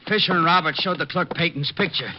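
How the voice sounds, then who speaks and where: A male actor plays a deferential, slightly hesitant American policeman, higher-voiced than most men. The old radio drama recording is muffled and noisy. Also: fast talker, natural voice. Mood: reporting. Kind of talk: 1940s-50s radio detective drama.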